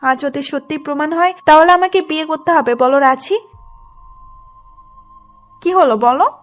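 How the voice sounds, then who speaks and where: Woman acting a pushy high-voiced lady boss. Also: normal speed, close to an everyday voice. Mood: mocking, insistent. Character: pushy, jealous female boss in love with her employee. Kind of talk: dramatised audio love story. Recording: music under the voice.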